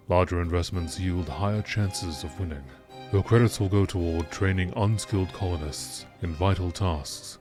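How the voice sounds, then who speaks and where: Deep-voiced man narrating in documentary style. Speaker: narrator reading game lore texts aloud.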